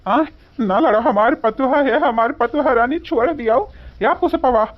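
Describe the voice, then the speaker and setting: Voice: mid-range voice, normal speed, lo-fi sound, lightly acted. A performer voicing a fretful older village father in a cartoon, pleading with his family in an Awadhi accent. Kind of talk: cartoon voice acting.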